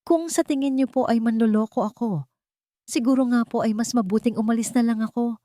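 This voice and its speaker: Woman narrator doing a hurt shy filipino girl in a love story, pleading and polite, soft mellow tone, dry recording. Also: fairly high pitch, brisk, no echo, voice pushed up, close to an everyday voice. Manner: deferential, pleading. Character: timid, hurt younger woman, respectful and pleading.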